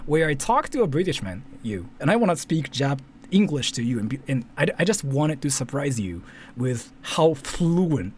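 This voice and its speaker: A man's voice: mid-range voice, very animated, normal speed, slight background noise. A man from Japan, a native Japanese speaker, giving casual, rambling off-the-cuff commentary as a YouTuber, often repeating himself and checking in with short tags.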